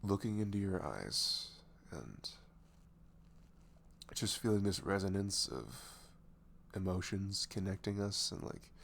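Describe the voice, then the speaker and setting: Low, gravelly, nervous lovestruck guy acted by a man. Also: fairly bright tone, a little hiss or hum, heavily acted. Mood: elated, intimate. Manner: halting, stammering, sighing, breathy laughs, often dropping to whispers. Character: nervous, dorky male best friend confessing his love, tender and goofy. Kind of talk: roleplay ASMR audio drama, intimate and conversational.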